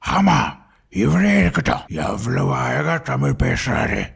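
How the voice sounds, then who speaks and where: Man voicing a very gravelly, husky cartoon schoolboy. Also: mid-range voice, animated, takes it slowly, a bit bright, full character voice. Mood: curious. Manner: questioning, skeptical.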